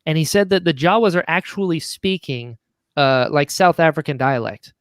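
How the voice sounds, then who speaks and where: Male podcast guest, writer-producer type; higher register, dry recording, animated casual storytelling. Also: moderate pace, clean tone, no echo. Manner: enthusiastic, chatty, quotes conversations.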